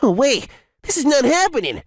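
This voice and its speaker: A woman's voice: high voice, wildly swooping pitch, moderate pace, no room sound, full character voice. A woman playing a smug, scheming office villainess in an English manga dub, with a taunting manner. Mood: panicked, defensive. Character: scheming, gloating female office villain, mockingly sweet.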